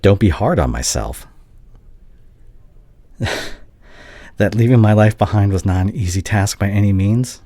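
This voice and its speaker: A man playing a heartbroken, devoted young doctor ex-boyfriend in an intimate audio roleplay, hushed and halting, often slipping toward a whisper. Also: fairly low pitch, expressive, no echo. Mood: hesitant, tender. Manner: halting, emotional, confessional.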